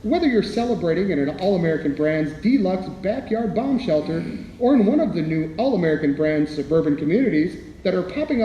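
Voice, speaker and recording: Jolly radio-ad father, man acting: high, mellow voice, folksy salesman cheer, roomy sound. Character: jolly wholesome 1950s father pitching products. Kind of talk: vintage radio commercial acting. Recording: roomy echo, faint noise.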